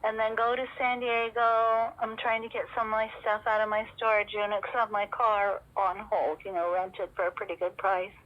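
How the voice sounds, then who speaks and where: A woman's voice: mid-range voice, level tone, normal speed, few pauses. A middle-aged woman talking casually on a recorded phone call, rambling and hesitant as she goes. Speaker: caller in a personal phone conversation. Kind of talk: casual private phone chat. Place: telephone call. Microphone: telephone.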